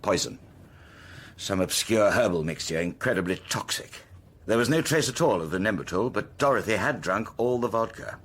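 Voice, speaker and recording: Low-voiced British gentleman detective. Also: expressive, normal speed, breathy edge. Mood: grave. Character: gentlemanly, concerned male amateur detective. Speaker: actor in a radio drama. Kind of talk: radio drama acting.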